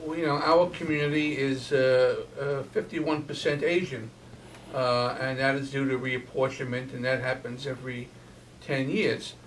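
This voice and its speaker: A man with a slightly high voice gives halting remarks at a community forum. He is off the microphone, with faint noise underneath. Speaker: political club leader, forum panelist. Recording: distant mic, faint background noise.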